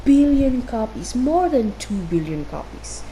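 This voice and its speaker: A woman's voice: a little high, sing-song, measured pace, warm tone, slight background noise, poor audio. A woman with a Filipino accent giving playful, rambling, casual game commentary. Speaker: game streamer. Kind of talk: casual gameplay commentary mixing Tagalog and English.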